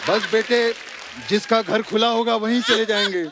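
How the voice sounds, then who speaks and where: A man's voice: sits high, fairly even intonation, moderate pace, crowd noise, lightly acted. A man playing a boozy, scheming father in a theatrical stage comedy sketch. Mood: weary, comic. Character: boozy, scheming elderly father, comic film-star parody. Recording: loud noise from studio audience.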